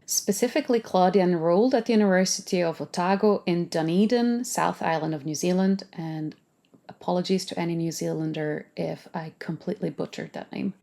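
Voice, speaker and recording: A woman's voice: lowish voice, a little fast, gravelly, warm tone. A woman hosting a podcast, reading a scripted introduction with playful asides. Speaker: podcast host. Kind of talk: scripted narration.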